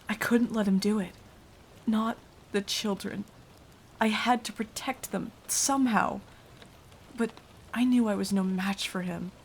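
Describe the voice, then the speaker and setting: A woman's voice: a little high, a little hiss or hum. A woman playing a frightened, self-sacrificing young victim, telling of a vampire attack as dramatic ASMR roleplay. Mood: anxious, resolute. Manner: hushed, dramatic, deliberate storytelling.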